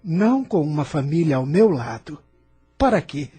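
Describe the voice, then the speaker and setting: A man's voice: on the high side, big pitch swings, normal speed, warm tone, husky, faint music behind, heavily acted. An actor with a Brazilian accent playing an embittered, stubborn immigrant man who argues with emphatic self-pity in an audio novel. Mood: defiant. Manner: argumentative, self-pitying, emphatic. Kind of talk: dramatized audio novel dialogue.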